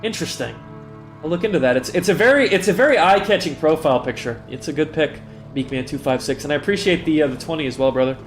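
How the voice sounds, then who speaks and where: A man's voice: on the high side, lively intonation, quick pace, faint music behind. A young man with a stuffy nose, tired and self-deprecating, rambling through casual commentary on a live game stream.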